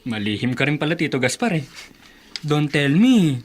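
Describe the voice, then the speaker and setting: A man's voice: on the high side, sing-song, normal speed. A Filipino man acting a nosy, teasing young nephew in a radio drama. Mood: curious, teasing. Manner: teasing, inquisitive.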